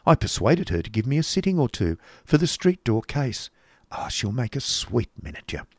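A man's voice: medium pitch, sing-song, normal speed, dry recording, clean studio sound. A man voicing a fussy, chatty middle-aged woman painter who prattles and exclaims. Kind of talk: audiobook character voice.

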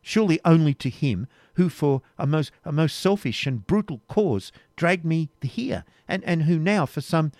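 A proud young woman held captive speaks with quiet resolution, as a male reader voices her. Her pitch sits well above the reader's own deep voice, and the recording is dry and close. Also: moderate pace, dry recording, close to an everyday voice. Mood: indignant. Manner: earnest, steady pleading. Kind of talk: audiobook reading, period novel.

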